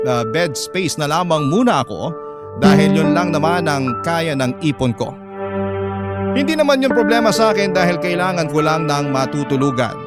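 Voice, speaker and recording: A man's voice: fairly high pitch, close to an everyday voice. A Filipino man reading a troubled young student's first-person letter as scripted drama narration. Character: troubled young student confiding a family story. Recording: loud music bed.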